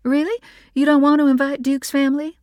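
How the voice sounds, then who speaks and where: A woman putting on a gushing high-voiced mother. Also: sing-song, no echo, studio quality. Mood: coaxing. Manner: gushing, rapid, high-strung. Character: eager, fluttery middle-aged mother.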